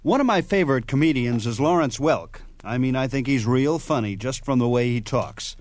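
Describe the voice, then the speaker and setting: Animated man introducing radio clips. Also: mid-range voice, normal speed, over a noisy crowd, very noisy. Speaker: radio program host. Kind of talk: conversational introduction to a recorded clip.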